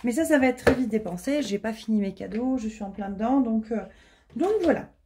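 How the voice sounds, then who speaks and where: A woman in her late thirties chatting casually to camera at home about her monthly budget, laughing often and filling pauses with lots of hesitations. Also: on the high side, noisy background. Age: 30s. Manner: chatty, self-deprecating, laughs often, many fillers. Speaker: budgeting vlogger. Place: home, indoors.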